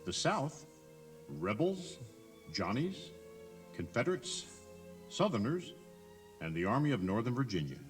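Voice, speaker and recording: A man's voice: mid-range voice, very animated, a little slow, pauses often, faint music behind. An American man narrating a history tour in a conversational, emphatic way, putting rhetorical questions straight to the listener. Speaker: audio tour guide narrator. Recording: 1983 cassette tour tape.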